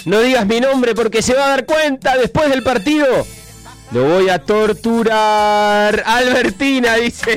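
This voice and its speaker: A man with a Rioplatense accent hosting a live radio call-in show, joking and excitable as he reads listener messages. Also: high voice. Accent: Rioplatense Spanish. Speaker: radio host.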